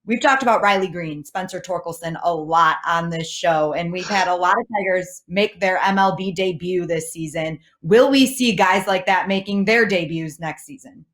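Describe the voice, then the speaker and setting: A woman's voice: lowish voice, normal speed, few pauses, fairly bright tone. A woman co-hosting a sports show, asking questions in a conversational interviewing style.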